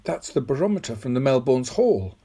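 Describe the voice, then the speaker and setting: A young boy in a storybook, acted out by a narrator. He sounds earnest and bewildered, polite but dogged, with a lively rise and fall in his voice. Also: medium pitch, fairly quick, slightly rough. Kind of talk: audiobook character voice.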